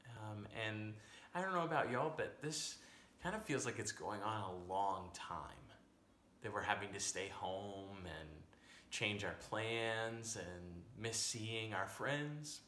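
A young American man with a bright, forward voice speaks as a pastor to children in simple, reassuring words. He is recorded in an echoey room at home, with faint noise behind him. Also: fairly low pitch, slow pace. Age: young adult. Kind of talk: children's sermon to camera. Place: small home room, indoors.

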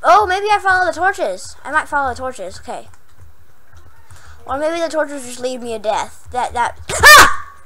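Young girl gamer, hoarse and losing her voice, super high-pitched, yelps a lot. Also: very animated, unhurried, noisy background. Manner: jumpy, yells and squeals when startled, then chatty. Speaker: gaming channel host. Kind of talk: Minecraft horror map let's play.

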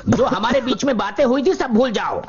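An actor voicing a formal middle-aged company president in a Hindi cartoon dub, businesslike and expressive. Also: medium pitch, fairly quick, slight background noise, close to an everyday voice. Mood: businesslike, displeased. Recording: dull TV cartoon audio with music.

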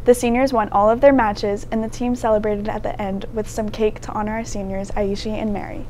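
A woman's voice: medium pitch, little pitch movement, brisk, warm tone, a little hiss or hum, rough recording. A teenage girl co-anchoring a school newscast and reading sports announcements. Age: teens. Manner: scripted announcements. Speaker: student news anchor. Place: school TV studio desk.

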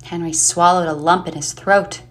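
A woman's voice: lowish voice, steady tone, normal speed. A woman reading dramatic scripted narration for an eerie audio play. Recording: music under the voice.

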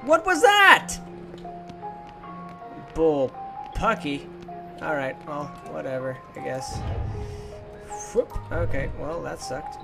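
A man's voice: a little high, big pitch swings, slow, creaky, long pauses. A young man giving casual let's play commentary, chatty and goofy with playful exclamations. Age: young adult. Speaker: gaming YouTuber. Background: game music.

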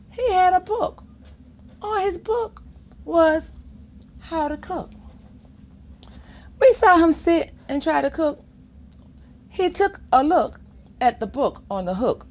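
A middle-aged woman reading a rhyming picture book aloud to children in an expressive, playful way, with asides of her own. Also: extremely high pitch. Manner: expressive, chuckling asides, acts out the rhymes. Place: library children's reading corner, indoors.

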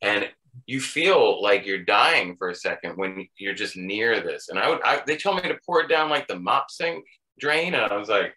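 A man's voice: on the low side, lively intonation, moderate pace, sharp tone, rough recording. A man on a video call, rambling in an offhand, dryly funny way. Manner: rambling, offhand, dry humor. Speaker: band member. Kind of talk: casual chat.

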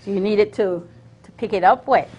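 A middle-aged woman casually demonstrating a recipe, explaining it in a hesitant, halting way. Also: medium pitch, darkish tone, husky, dull audio. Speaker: guest cook demonstrating a recipe. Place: TV kitchen studio set.

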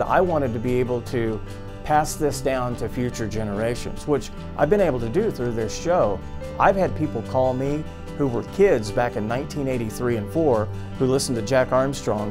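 A man's voice: medium pitch, normal speed. A man in his fifties with an American accent, a radio host telling his story as in an interview. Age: 50s. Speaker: old-time radio show host. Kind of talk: interview reminiscence. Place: small radio studio office. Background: plucked guitar music.

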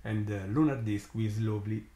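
A man with an Italian accent, his first language Italian, hosting a live astronomy stream and commenting slowly with many pauses and fillers, sounding amazed. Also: fairly low pitch, steady tone, dark tone, reverberant. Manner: slow, halting, many fillers, awed.

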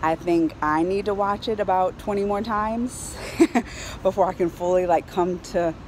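A middle-aged woman giving a casual, excited review to camera in an animated, colloquial way. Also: on the low side, a little slow. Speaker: vlogger reviewing a film. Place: outdoors on a high-rise terrace in a city. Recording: clear outdoor ambient city noise.

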